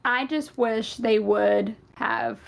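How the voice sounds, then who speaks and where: Chatty Southern woman, slightly gravelly. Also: fairly high pitch, steady tone, slow. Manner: chatty, rambling, many fillers. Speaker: podcast co-host. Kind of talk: casual fan podcast chat.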